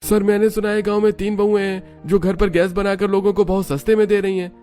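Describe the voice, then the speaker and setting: A man's voice: high voice, flat delivery, fairly quick, dark tone, soft background music, pitched above the performer's own voice, natural voice. A man voicing a deferential company underling in scripted cartoon dialogue. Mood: anxious, informing.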